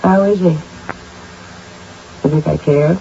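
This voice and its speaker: A woman's voice: deep voice, steady tone, extremely slow, mellow, full character voice. An actress playing a lonely, guilt-ridden, sleepless middle-aged mother in a theatrical, fretful 1970s radio drama style. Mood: weary, insistent. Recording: dull, faintly noisy vintage radio recording.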